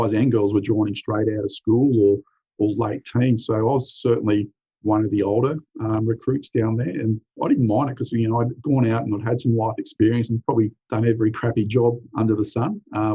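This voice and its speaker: A man in his forties with an Australian accent, an easygoing veteran telling his story in a relaxed, chatty way. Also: lowish voice, brisk, slightly rough. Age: 40s. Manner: easygoing, uses "mate", frequent "um" and "you know". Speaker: military veteran guest. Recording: dry, slightly dull lo-fi recording.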